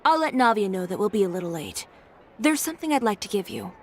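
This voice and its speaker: A woman's voice: mid-range voice, big pitch swings, fast talker, a little hiss or hum. A woman voicing a poised young female fashion designer, composed with a dry, teasing wit, in game voice acting. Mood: cool. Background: game music.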